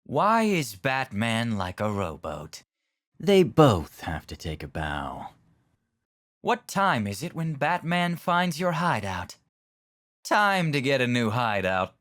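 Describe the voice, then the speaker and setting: A man's voice: medium pitch, very animated, slow pace, fairly bright tone. A man voicing a smug villain who loves riddles and jokes.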